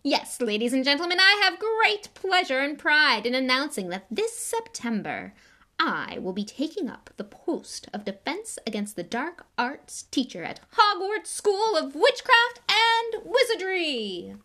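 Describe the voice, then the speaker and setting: A woman voicing a vain, showy grown male wizard celebrity in an audiobook, speaking loudly and theatrically. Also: high voice, sing-song, measured pace, voice pushed up, heavily acted. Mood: boastful. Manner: loud, grand, theatrical announcing. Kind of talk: audiobook character voice.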